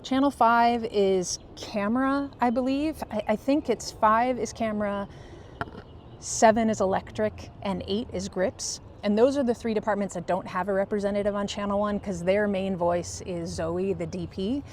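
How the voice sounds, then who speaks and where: A soft-spoken, low-key woman explaining her work casually in a vlog, pausing hesitantly as she goes. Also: steady tone, slight background noise. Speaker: film crew first assistant director.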